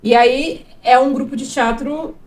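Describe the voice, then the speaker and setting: A woman's voice: high voice, unhurried, a little mellow. A woman, a guest chatting casually in an interview, rambling with fillers and restarts. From Brazil. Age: 30s. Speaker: guest actress and producer.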